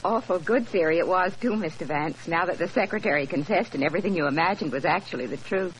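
A woman's voice: fairly low pitch, quick pace, natural voice. Eager radio secretary played by a woman, faint hiss. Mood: admiring. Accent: American. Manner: deferential, inquisitive. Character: eager, admiring, polite female secretary to a detective. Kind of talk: 1940s radio drama acting. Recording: noisy old 1940s radio recording.

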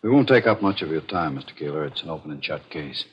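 An actor playing a matter-of-fact, courteous police detective with a husky voice in a 1940s radio drama. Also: male voice, rumbling low, animated, quick pace, slight background noise. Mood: businesslike. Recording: dull vintage radio recording.

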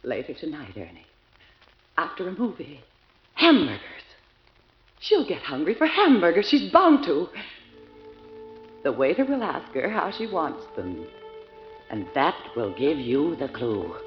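A woman's voice: sits low, sing-song, measured pace, pauses often. An actress playing a scheming, anguished woman in an old-time radio melodrama, with breathless delivery. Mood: feverish scheming. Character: scheming, anguished woman plotting a murder with her lover. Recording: dull echoey old radio recording, music behind.

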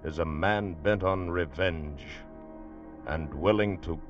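Casting: male old-time radio announcer, very low portentous voice, dramatic delivery, muffled recording over music. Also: slow. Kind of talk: dramatic 1940s-50s radio narration. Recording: dull vintage radio recording.

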